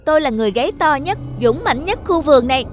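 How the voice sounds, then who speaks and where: High-voiced bickering cartoon rooster acted by a woman. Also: expressive, fast. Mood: boastful. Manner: bickering, theatrical. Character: boastful, quarrelsome cartoon rooster brothers and farmyard fowl. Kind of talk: children's cartoon dubbing.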